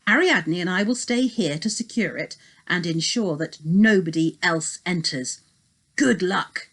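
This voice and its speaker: A woman voicing a clever, helpful male inventor in a storybook, explaining things in a careful, measured way. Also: lowish voice, fairly bright tone. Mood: instructive. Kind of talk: storybook character voice.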